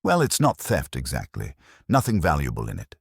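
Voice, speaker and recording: A man's voice: extremely low pitch, huge pitch swings, moderate pace, creaky, slightly rough, no echo, clean studio sound, full character voice. A man voicing an eager, deferential police sergeant in a detective audio drama, earnest and questioning. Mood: eager, puzzled.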